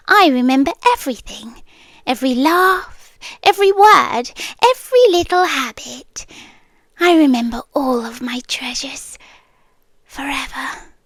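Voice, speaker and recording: A woman acts out a possessive sea spirit girlfriend with a high British voice. She is sweetly menacing and coaxing, often sinking into breathy whispers. The delivery is very unhurried, close and dry on the microphone, in the manner of ASMR roleplay. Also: dry recording. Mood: possessive, adoring.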